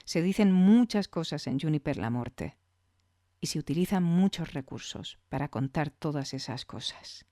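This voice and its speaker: A woman's voice: low-pitched, animated, normal speed, dark tone, dry recording. Female podcast host, Castilian accent from Spain, chatty reviewer delivery, very dry sound. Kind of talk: conversational podcast commentary.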